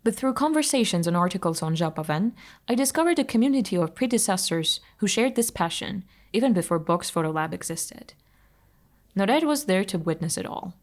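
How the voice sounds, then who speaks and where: Low-voiced woman. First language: Armenian. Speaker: narrator reading a personal essay. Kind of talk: scripted audio article narration.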